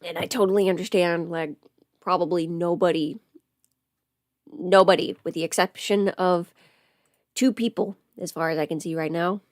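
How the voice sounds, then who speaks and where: A woman's voice: fairly low pitch, fairly even intonation, moderate pace, long pauses, a little mellow, no echo, studio quality. A woman who is sick, chatting casually on a livestream as host, thinking aloud and interrupting herself. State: sick at home with covid. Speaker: livestream host, audio creator. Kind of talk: casual rambling livestream chat. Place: at home.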